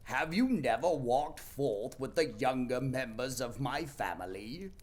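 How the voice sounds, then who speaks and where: A man playing a jolly, commanding giant spirit in an audio play, declaiming slowly and grandly. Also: expressive. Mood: jovial. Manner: booming, slow, grandly declaiming. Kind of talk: theatrical audio play acting.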